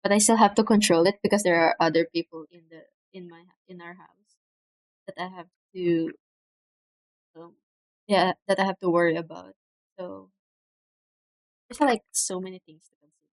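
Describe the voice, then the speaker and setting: A young woman from the Philippines chats casually on her livestream, speaking softly with frequent pauses and fillers. Her cheap mic gives a dry, close sound in a room at home. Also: fairly low pitch, fairly even intonation, no echo, rough recording. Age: young adult. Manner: soft-spoken, hesitant, many fillers. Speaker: streamer. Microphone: cheap desk mic.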